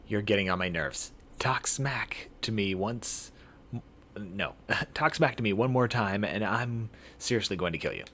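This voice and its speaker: A man's voice: on the low side, measured pace, pushed and bright, slight background noise. A man voicing a brash, short-tempered young fighter in a visual novel, threatening and taunting. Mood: angry, taunting.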